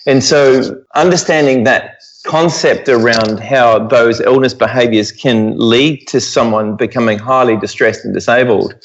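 Man, New Zealand accent, middle-aged, medium pitch, reflective expert guest, dull lo-fi recording. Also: a little slow. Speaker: guest expert, physiotherapy professor. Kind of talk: conversational explanation in an interview. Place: at home, remote interview.

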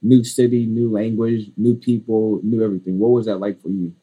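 A man's voice: on the low side, level tone, a little fast, mellow, husky, poor audio. A man hosting a podcast interview, rambling and filling his questions with "like" and "you know". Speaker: podcast host. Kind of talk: casual interview talk.

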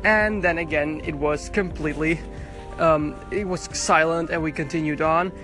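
A man's voice: fairly high pitch, steady tone, normal speed, bright, forceful tone, soft background music. Young man rambling into his phone outdoors. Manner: rambling, chatty, frequent restarts. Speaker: podcast host. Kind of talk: casual audio diary. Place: outdoors at a bus stop on the street.

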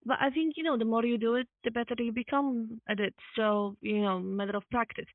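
A woman's voice: fairly high pitch, steady tone, brisk, no echo. A woman from Poland with a Polish accent, speaking English as a second language in a casual, chatty way, often repeating and rephrasing herself. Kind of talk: casual chat. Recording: thin phone-like call audio.

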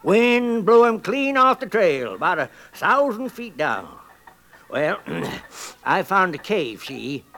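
An actor playing a toothless, stubbornly hopeful old prospector in a 1950s radio western, gravelly and rambling in a folksy American frontier drawl. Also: male voice, high-pitched, very animated, slow pace, bright, forceful tone, heavily acted. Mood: boastful, insistent. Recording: noisy 1950s radio recording.

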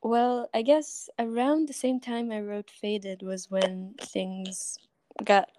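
A woman in her twenties, a singer-songwriter guest, speaking gently and thoughtfully in a soft voice. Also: mid-range voice, flat delivery, slow pace, a little hiss or hum. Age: 20s. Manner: gentle, thoughtful, laughs often. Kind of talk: casual interview chat.

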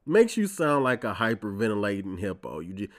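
A man's voice: on the low side, big pitch swings, a little fast. A man in his twenties, congested from a cold, hosting his own podcast in a wry, profane, ranting casual monologue. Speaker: podcast host.

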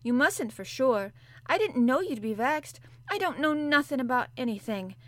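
A woman's voice: fairly high pitch, lively intonation, normal speed, no room sound, close to an everyday voice. A woman voicing a blunt, good-natured young housemaid with a broad Yorkshire accent, speaking in homely dialect. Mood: coaxing.

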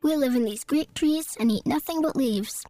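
A man's voice: very high-pitched, moderate pace, mellow, no room sound, faint music behind, voice pushed up. A man voicing a group of tiny, fluffy forest creatures in a storybook reading. They talk in a prim, chattering way. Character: tiny fluffy gray tree creatures, proud, clever.

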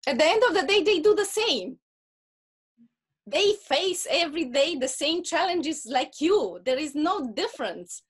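A woman's voice: sits high, big pitch swings, a little slow. A woman with a Russian accent, a guest talking earnestly in a casual interview and often repeating words as she starts a point.

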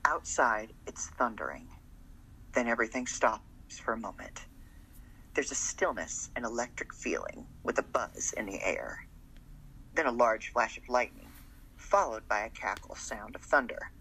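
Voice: medium pitch, unhurried, pushed and bright, slight background noise, low-quality recording. A narrator reading scripted scene descriptions for an amateur radio drama.

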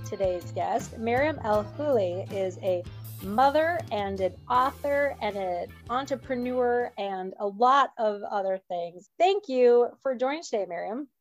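A woman's voice: a little high, animated, unhurried, no room sound, faint music behind. A woman hosting a parenting podcast, asking questions in a friendly way with small restarts. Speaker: podcast host. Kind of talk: interview host. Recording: dry, dull lo-fi sound.

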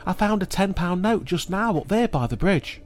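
A man's voice: high-pitched, lively intonation, normal speed, voice pushed up. A man voicing an earnest, worried young schoolboy in a children's story, speaking in a pleading, plaintive way. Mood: pleading, upset.

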